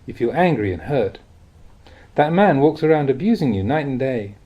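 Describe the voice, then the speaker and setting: A man reads a spiritual teaching aloud in a measured, expressive, explanatory way, like an audiobook. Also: mid-range voice, slightly rough, dull audio. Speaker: reader of Buddhist teachings. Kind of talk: audiobook reading of a spiritual talk.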